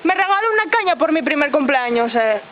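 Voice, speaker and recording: A young woman playing a brash barnacle fisherwoman in an improvised comic sketch, loud and rambling. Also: high-pitched, big pitch swings, a little fast, voice pushed down. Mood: boastful. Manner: loud, joking, rambling, trading insults. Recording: muffled home video with crowd noise.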